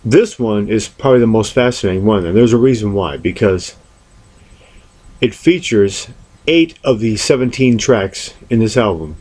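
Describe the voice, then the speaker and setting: A man presenting a record collection in an unscripted show-and-tell, speaking deliberately and haltingly, often repeating words. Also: lowish voice, expressive, a little mellow. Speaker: music collector presenting albums.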